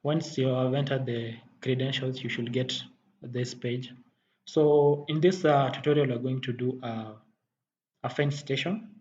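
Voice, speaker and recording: A man giving a software tutorial as a technical instructor, halting and often rephrasing as he explains. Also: mid-range voice, steady tone. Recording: dull muffled audio, faint background noise.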